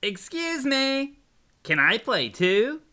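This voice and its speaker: A woman's voice: a little high, big pitch swings, takes it slowly, pushed and bright, pitched above the performer's own voice, heavily acted. A woman voicing a polite, hopeful snake in a picture book, earnest and eager.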